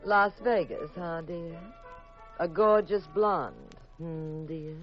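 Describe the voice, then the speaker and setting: An American actress playing a sharp, teasing, suspicious detective's wife in a 1950s radio drama, with an arch, wry manner. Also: female voice, low-pitched, animated, takes it slowly, soft background music, heavily acted. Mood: sarcastic. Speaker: radio actor. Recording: dull, lo-fi 1950s radio recording.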